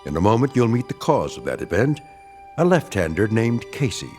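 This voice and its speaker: A man's voice: lowish voice, very animated, a little fast, darkish tone, soft background music. An American man narrating a radio drama as a wry, folksy, theatrical storyteller introducing an eerie tale. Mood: wry, ominous.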